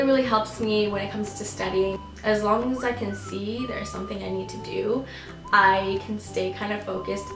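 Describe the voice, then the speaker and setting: Young woman, chatty and giggly, lots of "like", roomy echo, music underneath. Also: medium pitch. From Philippines, raised in Canada. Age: young adult. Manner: chatty, giggly, many "like"s and restarts. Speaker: vlogger answering viewer questions. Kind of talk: casual chat.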